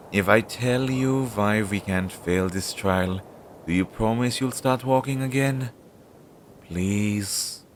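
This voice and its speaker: A man playing an anxious, self-doubting magical familiar in an audio roleplay, speaking hesitantly and fretfully to his master. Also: extremely slow. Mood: pleading, urging. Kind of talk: scripted audio roleplay, one-sided dialogue. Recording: low-fidelity audio with clear background noise.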